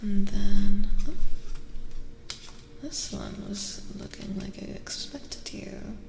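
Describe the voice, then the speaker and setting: A woman streaming a soft-spoken ASMR card reading, chatting casually and gently in a breathy voice that often drops to a whisper, repeating words soothingly. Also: medium pitch, takes it slowly, roomy sound, long reverb tail, noisy background. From Eastern Europe. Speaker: streamer host giving tarot readings.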